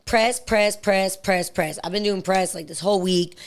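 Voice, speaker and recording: A woman in her thirties, a little hoarse, trading playful teasing banter as a guest on a podcast. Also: mid-range voice, normal speed. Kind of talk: casual podcast chat. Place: podcast studio.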